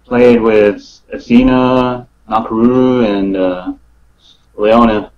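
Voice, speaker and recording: A man's voice: lowish voice, fairly even intonation, slow, muffled recording. A man chatting casually about play as a guest on a gaming podcast. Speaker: podcast guest, fighting game player. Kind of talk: casual chat.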